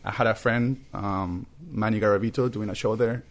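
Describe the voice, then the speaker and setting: A man's voice: lowish voice, fairly even intonation, normal speed. A man in his thirties with a thick Peruvian accent, a comedian telling stories in a laid-back, swear-heavy podcast chat. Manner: laid-back storytelling, frequent swearing and laughter. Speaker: comedian guest.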